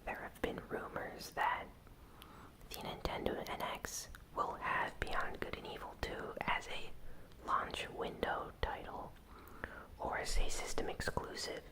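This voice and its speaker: A host whispering a gaming news recap in ASMR style, with soft, unhurried, deliberate phrasing. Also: rough recording.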